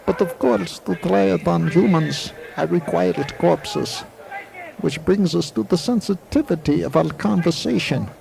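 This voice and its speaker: An actor playing a formal, secretive, eccentric physics professor with courtly, pedantic phrasing in a 1980s audio drama. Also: male voice, fairly high pitch, a little slow, creaky, darkish tone, rough recording. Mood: lecturing, pleased. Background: racetrack crowd.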